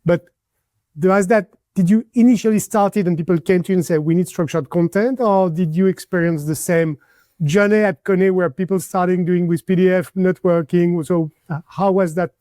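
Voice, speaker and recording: This is a man on a conference panel with a high but mellow voice. He asks his questions in a searching, hedging way. The recording is dry and close. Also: steady tone, moderate pace, no echo. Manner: inquisitive, hedging, searching for words.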